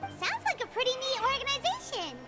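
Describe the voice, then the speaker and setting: A woman voicing a tiny, excitable, childlike floating fairy companion in a video game, chirpy and full of exclamations. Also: very high voice, brisk. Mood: eager. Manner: chirpy, exclaiming, refers to itself by name. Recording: loud game music. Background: game music.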